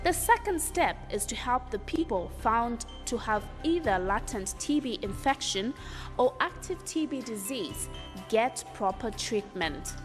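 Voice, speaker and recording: A woman's voice: a little high, animated, unhurried. A woman reading scripted educational documentary narration in a formal, instructional manner. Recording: reverberant sound under clear music. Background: background music.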